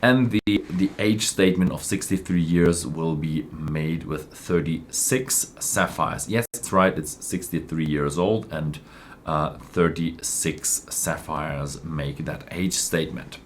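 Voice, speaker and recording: A man presenting news informally as a host, speaking in a halting way and correcting himself as he goes. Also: a bass voice, slight background noise.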